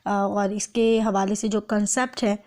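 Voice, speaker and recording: A woman's voice: mid-range voice, level tone, normal speed, a little mellow. A woman with a Pakistani accent lecturing in an instructional way, explaining step by step and restating each point. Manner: instructional, repeats and rephrases points. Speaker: law lecturer. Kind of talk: explanatory lecture, reading clauses and translating them phrase by phrase.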